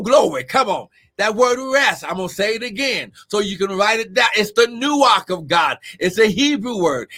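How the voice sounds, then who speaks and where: A male preacher delivering a fervent sermon, shouting often and calling out to members of his congregation. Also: high voice. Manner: shouting, exclamatory, calls out congregation members by name. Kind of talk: Pentecostal-style sermon.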